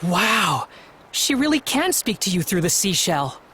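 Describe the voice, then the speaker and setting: Eager young male adventurer, high voice, very animated, faint noise behind. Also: unhurried, exaggerated voice. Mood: amazed. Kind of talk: scripted video game voice acting.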